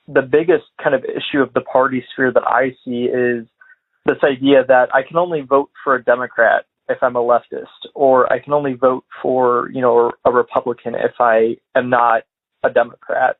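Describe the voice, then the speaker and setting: A man's voice: mid-range voice, fairly even intonation. Man from Illinois on a tinny phone line. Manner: measured, hesitant, many fillers and hedges. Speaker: caller. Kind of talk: voicemail commentary.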